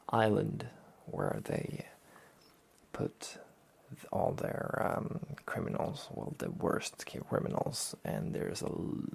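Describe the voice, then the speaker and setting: Soft-spoken, gravelly man talking slowly. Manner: hesitant, rambling, low-key. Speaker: host of a weekly anime talk show. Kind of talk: soft-spoken relaxation talk, casual review.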